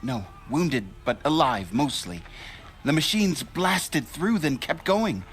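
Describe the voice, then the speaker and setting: Shaken tribesman in a video game, earnest and halting, higher voice that moves around a lot. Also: animated. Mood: shaken relief. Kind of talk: video game drama acting.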